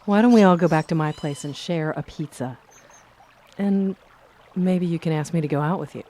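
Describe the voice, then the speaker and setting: Actress as a mellow, curious townswoman. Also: low voice, normal speed, slight background noise, close to an everyday voice. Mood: light, amused. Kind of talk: radio play acting.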